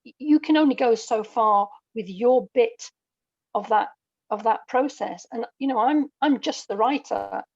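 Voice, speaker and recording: A middle-aged woman speaking as a podcast guest, explaining things in a measured way with pauses between phrases. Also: fairly high pitch, breathy edge, no echo. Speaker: guest, audio drama writer. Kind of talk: thoughtful podcast conversation. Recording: dull, close dry lo-fi audio.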